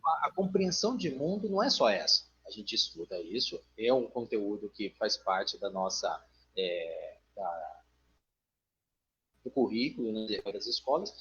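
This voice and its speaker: A man's voice: medium pitch, sing-song, moderate pace, fairly bright tone, husky. A Brazilian man, a teacher, talking casually and digressively in a live online discussion, often adding tag questions. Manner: digressive, frequent "né" tags, joking asides. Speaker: teacher co-hosting a live stream. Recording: dull online call audio.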